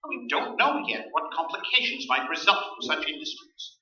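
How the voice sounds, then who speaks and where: A man's voice: on the high side, big pitch swings, moderate pace, bright, forceful tone, heavily acted. A man playing a formal, emphatic research doctor giving warnings in a staged radio drama. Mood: earnest, warning. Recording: echoey, dull, distant stage pickup.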